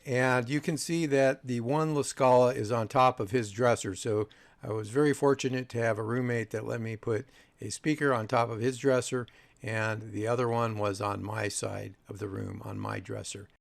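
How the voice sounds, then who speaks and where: Man in his 60s, gravelly creaky voice, measured reminiscing narration, dry humour, close dry recording. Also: mid-range voice, fairly even intonation, no echo. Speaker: video host, audio reviewer. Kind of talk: narration over a photo slideshow.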